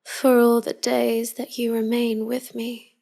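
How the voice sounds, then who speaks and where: Hushed, breathy vampire wife, played by a woman. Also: on the high side, monotone. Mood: loving, wistful. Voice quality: soft, breathy, often whispering. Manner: slow, hushed, confiding. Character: tender, melancholy vampire wife devoted to her human lover. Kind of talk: intimate whispered pillow-talk roleplay audio.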